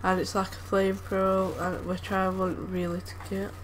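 A woman talking casually over gameplay, soft-spoken and hesitant, with lots of ums and groans. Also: mid-range voice, completely flat delivery, moderate pace, poor audio. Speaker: gameplay commentator. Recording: loud background noise from the game. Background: game sounds.

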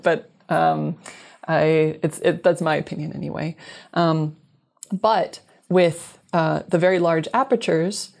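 Woman in her 30s, low voice, scientist being interviewed on a video call, thinks out loud and explains carefully. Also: creaky. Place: small room indoors, video call. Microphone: wired earbuds headset on video call.

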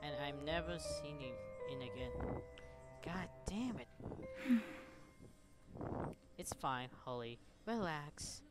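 A man casually commenting on a game playthrough and reading aloud in a halting, stumbling way. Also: on the high side. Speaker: gaming streamer reading along.

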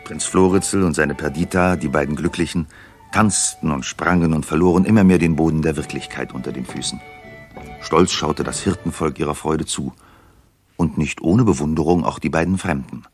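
An actor as the storyteller, giving scripted narration in a 1960s German radio play. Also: male voice, a bass voice. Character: storyteller narrating a pastoral feast.

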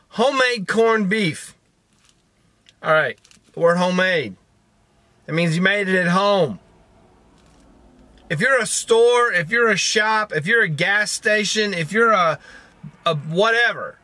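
A man's voice: high-pitched, bright, forceful tone, dry recording. A man in his fifties chatting casually to a vlog in a rambling, wry, self-deprecating way, recorded in a car. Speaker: vlogger. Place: inside a parked or moving car.

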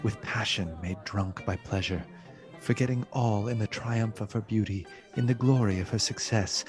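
Male narrator for radio play, lower register, formal literary storytelling. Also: darkish tone.

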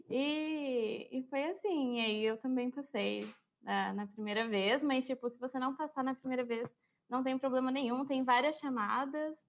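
A woman's voice: sits high, measured pace. Chatty young Brazilian woman student. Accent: Brazilian Portuguese. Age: young adult. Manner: relaxed, chatty, uses fillers like tipo. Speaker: university student. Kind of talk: casual panel chat. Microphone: video call.

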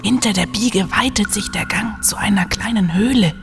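A woman playing a curious, wary young student scouting ahead in an audio drama, with halting delivery. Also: medium pitch, music in the background, natural voice. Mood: tense.

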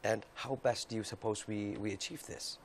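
A middle-aged man interviewing formally on television, halting and repeating words as he forms his questions. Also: on the low side. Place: indoor TV studio. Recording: faint steady background noise.

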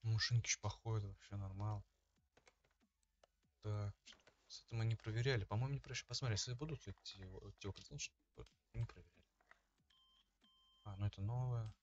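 Low-voiced man with dull lo-fi sound. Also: fairly even intonation, fairly quick, long pauses, slight background noise. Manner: informal, slangy, thinking aloud. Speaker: gaming video host. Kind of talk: casual let's-play commentary.

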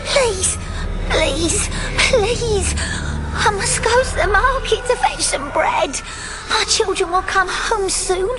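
Very high-pitched, weeping ghost mother. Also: takes it slowly, over loud music. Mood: anguished pleading. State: crying. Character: tormented dead mother, trapped pleading ghost. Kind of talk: audio drama acting.

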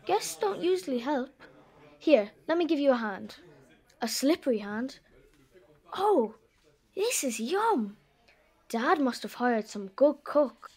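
A headstrong young girl, speaks in a very high, lively voice. She is emphatic and friendly, with big swings of pitch, in the manner of a children's audio drama. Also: slow pace, pauses often, slightly rough, heavily acted. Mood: friendly, bossy. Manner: expressive, emphatic.

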